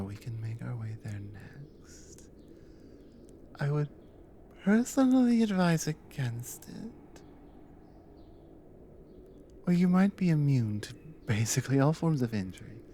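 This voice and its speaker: A woman playing a devoted, protective Nordic adventurer wife in an intimate ASMR roleplay, drowsy and tender, breathy and often slipping into a whisper. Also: rumbling low, slight background noise, exaggerated voice. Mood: gentle concern. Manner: slow, soft, tender with pauses.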